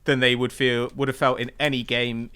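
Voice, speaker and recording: A man's voice: mid-range voice, little pitch movement, normal speed, bright, forceful tone, clean tone. A man hosting a casual football podcast, talking in a chatty, conversational way.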